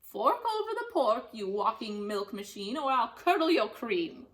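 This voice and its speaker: A woman's voice: fairly high pitch, big pitch swings, a little slow, fairly bright tone. A woman voicing a cranky, scheming old witch in a storybook, with theatrical, bossy exclamations. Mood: outraged. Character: cranky, scheming hag witch craving piggy pie. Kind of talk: storybook character voice.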